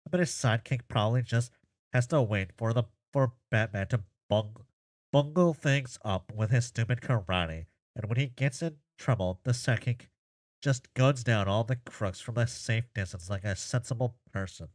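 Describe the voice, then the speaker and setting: A man's voice: medium pitch, moderate pace, no echo, natural voice. Man playing a goofy, earnest, chatty young guy. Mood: opinionated, playful. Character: earnest, goofy, chatty boy full of silly opinions.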